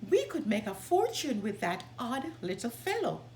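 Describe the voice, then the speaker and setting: A woman's voice: on the high side, very animated, moderate pace, a little mellow, echoey room, slight background noise. A woman voicing a scheming, greedy male stranger in a storybook, sly and persuasive.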